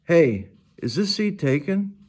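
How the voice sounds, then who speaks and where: A man's voice: a little high, sing-song, slow, darkish tone, breathy edge, voice pushed up, full character voice. A chatty, eager young schoolgirl from a storybook, acted out by a man, with a voice lifted well above his usual talk. She is friendly and matter-of-fact, stressing her words earnestly.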